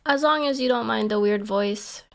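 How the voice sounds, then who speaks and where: Hoarse, gravelly young woman streamer. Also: a little high, moderate pace, fairly bright tone. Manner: playful and giggly, teasing herself. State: hoarse, stuffy from a cold. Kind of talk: casual live-stream chat.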